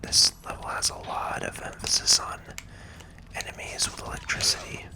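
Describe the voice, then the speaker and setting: A man giving soft, unhurried ASMR commentary on a video game in a breathy whisper. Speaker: gaming video host. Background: video game music.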